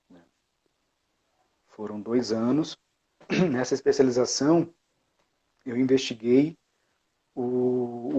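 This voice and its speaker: A man's voice: mid-range voice, mellow, slightly rough. Reflective man, dull lo-fi sound. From Brazil, Bahia. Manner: reflective, many fillers and restarts. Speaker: guest, music educator. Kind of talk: casual interview talk.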